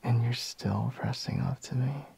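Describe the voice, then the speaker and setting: A man playing a sleepy, reluctant, teasing boyfriend in an intimate ASMR roleplay, murmuring drowsily in a breathy half-whisper. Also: medium pitch, fairly even intonation, a little mellow, exaggerated voice. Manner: slow, drowsy murmur with sighs and pauses.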